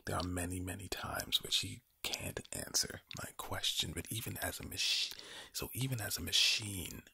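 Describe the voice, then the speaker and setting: A man whispers very close to the mic in a low, heavily breathy voice. He gives an ASMR pep talk, leaning on repetition and rhetorical questions. The creaky undertone gives him an ominous, villain-like sound. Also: fairly even intonation, pushed and bright, dry recording. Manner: slow deliberate phrasing with repetition and rhetorical questions. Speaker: ASMR video creator. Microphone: close microphone.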